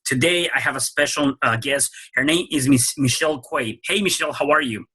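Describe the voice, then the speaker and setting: A man's voice: mid-range voice, a little fast, pushed and bright, husky. A man hosting an interview podcast, introducing his guest and asking questions with many fillers and restarts. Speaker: podcast host.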